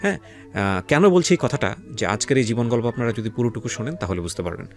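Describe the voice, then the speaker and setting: A man's voice: mid-range voice, lively intonation, quick pace. A man with a Bangladeshi accent hosting a radio interview, putting questions to a guest and speaking to listeners. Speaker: radio show host and interviewer. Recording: faint music bed under the voice. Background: soft background music.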